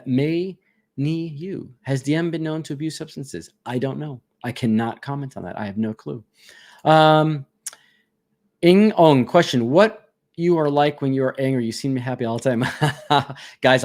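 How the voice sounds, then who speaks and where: A man in a relaxed livestream, reading viewer comments aloud and answering them in an easygoing, chatty way. He laughs often, and his voice sits in an ordinary middle pitch for a man. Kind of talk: casual live Q&A chat.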